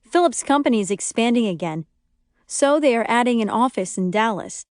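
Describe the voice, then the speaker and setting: A woman voicing a good-humored young husband in an audiobook, in a deadpan, good-natured way. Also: fairly high pitch, fairly quick, no room sound, studio quality, voice pushed up, close to an everyday voice. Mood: proud. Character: good-humored, supportive young husband with straight-faced wit. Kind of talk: audiobook character dialogue.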